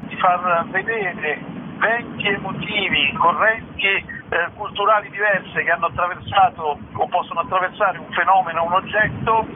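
Male festival director, radio interview. Slightly high voice, searching and repetitive, learned vocabulary, noisy telephone sound. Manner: hesitant, repeats words, intellectual vocabulary. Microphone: telephone call. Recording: tinny phone line with clear noise.

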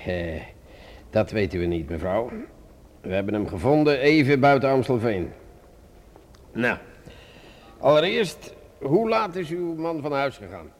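A grumbling male police inspector in a radio drama. He sounds weary and sarcastic, muttering and hesitating between his questions, at an ordinary male pitch. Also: big pitch swings, a little slow, pauses often. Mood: measured, formal. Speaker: actor in a radio play. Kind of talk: Dutch radio drama acting.

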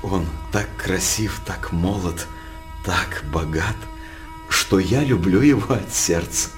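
An actor playing a sly, envious royal counsellor in a theatrical radio play, with an insinuating, mock-deferential manner. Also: male voice, low-pitched, lively intonation, slow pace. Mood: venomous. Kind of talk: theatrical verse radio drama acting. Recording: music behind the voice.

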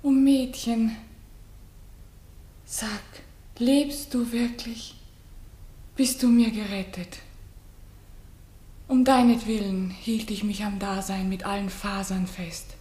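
A woman playing a gentle queen and mother in a classic radio drama, declaiming verse with reverence and deep feeling. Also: fairly even intonation, slow, pauses often, reverberant, close to an everyday voice. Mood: moved wonder.

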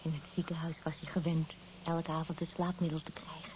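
An actress playing a loving, practical wife in a Dutch radio play, affectionate and emotional. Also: female voice, low voice, level tone, a little fast, lightly acted. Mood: tender, intimate. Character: loving, practical wife, a hospital worker expecting a baby. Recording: noisy, tinny old radio recording.

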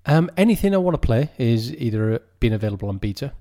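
A man's voice: medium pitch, sing-song, fast talker, mellow. A man in his forties, co-hosting a podcast in casual chat, stammering a little on restarts. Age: 40s. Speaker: podcast co-host.

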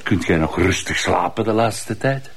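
A man's voice: low voice, lively intonation, moderate pace, fairly bright tone, breathy edge, heavily acted. A man with a Flemish accent playing a sardonic, threatening character with an old grudge in a TV crime drama. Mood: veiled menace. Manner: insinuating, threatening. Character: menacing, sardonic, suspicious male acquaintance nursing old grudges.

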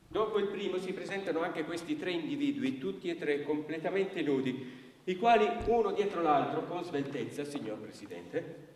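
An actor plays a pompous male defence lawyer giving a theatrical courtroom oration. His voice sits a little high, declamatory and scornful, ringing from a distance through a very echoey hall. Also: lightly acted.

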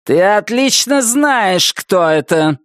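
A woman voicing a spiteful, furious middle-aged woman who threatens slowly and deliberately. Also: mid-range voice, lively intonation, no echo, clean studio sound, voice pushed up. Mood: seething threatening. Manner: slow, deliberate, seething menace. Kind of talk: audiobook character voice.

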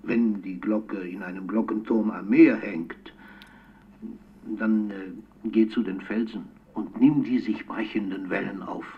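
An actor playing an elderly, melancholic poet who records a tender letter on tape for a radio play, savouring his words with pauses. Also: lowish voice, fairly even intonation, creaky, husky, full character voice. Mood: wistful, lyrical. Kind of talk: radio drama monologue as spoken letter. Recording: thin, tinny phone-like tape sound.

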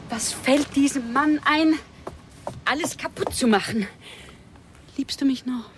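Anxious, defiant wife, faint noise. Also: female voice, sits high, a little slow. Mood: aggrieved, indignant. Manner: agitated, dramatic, aggrieved. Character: anxious, defiant runaway wife in secret affair. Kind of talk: radio drama dialogue.

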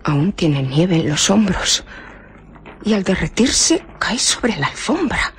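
An actress playing a nosy, chatty, easily flustered village innkeeper in a radio drama, muttering exclamatory asides to herself. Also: female voice, on the low side, big pitch swings, slow, a little hiss or hum. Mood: fussy, observant.

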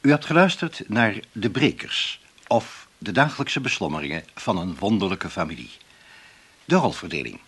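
A male radio announcer reads out scripted credits. His voice is fairly low and husky, with a very animated, swinging intonation. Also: moderate pace.

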